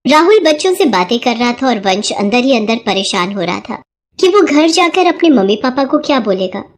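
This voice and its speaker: High-voiced woman narrating a children's story. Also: moderate pace. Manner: even, storybook telling.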